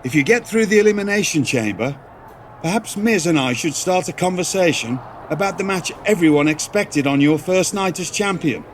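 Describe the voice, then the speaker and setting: A formal, measured general manager picks his words with care, his voice a touch higher than average. Faint traffic murmurs in the background of this game scene. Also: lively intonation. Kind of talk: video game voice acting.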